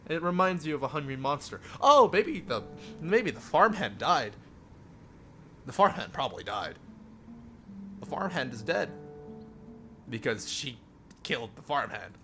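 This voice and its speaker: A man's voice: a little high, big pitch swings, a little slow, long pauses, sharp tone. A man live-streaming a visual novel, reading it aloud theatrically with playful asides. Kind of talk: dramatic read-aloud narration with joking asides. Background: music.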